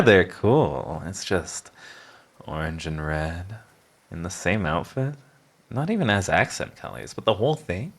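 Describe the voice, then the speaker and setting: A man's voice: deep voice, exaggerated voice. A man playing a sarcastic, flippant villain with a teasing, mocking delivery in a scripted audio roleplay. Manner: sardonic, theatrical teasing, drawn-out mocking delivery. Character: sarcastic male villain, flippant, prickly, begrudgingly charming.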